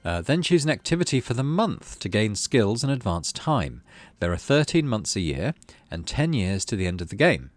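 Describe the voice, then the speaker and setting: A man's voice: on the low side, very animated, normal speed, hardly any pauses, dry recording. A man hosting a gaming video, reading game text aloud like a storybook and adding casual asides. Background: music.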